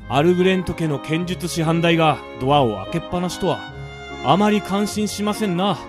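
A man's voice: on the high side, brisk, close to an everyday voice. Man acting a stern, formal butler over music. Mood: reproving. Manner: stiff, archaic, very polite speech. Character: formal, stern, worried elderly male butler. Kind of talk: radio drama acting. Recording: music behind the voice. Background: background music.

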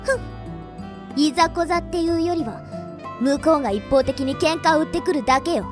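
A woman voicing a haughty, sharp-tongued young demon girl in a Japanese anime-style radio drama, snippy and proud. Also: high-pitched, a little fast, music in the background, close to an everyday voice. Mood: haughty, serious.

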